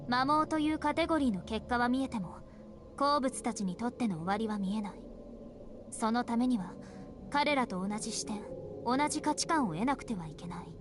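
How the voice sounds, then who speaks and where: Woman acting a cold, brisk, high-voiced young woman. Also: background noise, close to an everyday voice. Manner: curt, commanding, superior. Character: cold, haughty young woman hunting vampires. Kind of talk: Japanese visual novel voice acting. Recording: music behind the voice.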